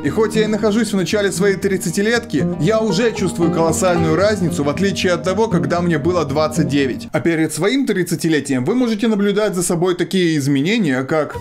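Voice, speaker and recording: A man around thirty delivering a scripted comedic monologue with exaggerated, animated complaining as a video host. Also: sits high, brisk, few pauses, faint music behind. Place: small foam-treated home studio.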